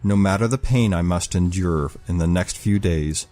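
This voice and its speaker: A man acts out a hardened ex-military leader in an audio drama. His voice sits very low, with a stern, commanding and formal delivery. Faint music runs behind him. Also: normal speed, dark tone, close to an everyday voice. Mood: solemn resolve. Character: grim, commanding ex-military male leader, resolute and irritable.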